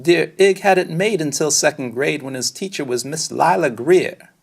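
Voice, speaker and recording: A man reading a children's storybook aloud in an expressive, rhythmic, dramatic storytelling style. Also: on the high side, moderate pace, creaky, breathy edge. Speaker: storybook reader.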